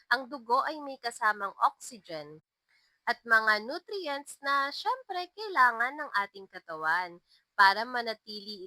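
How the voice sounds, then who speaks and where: A woman voicing a kind, playful young schoolteacher in a narrated love story, explaining gently and teasing fondly. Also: fairly high pitch, no room sound, rough recording.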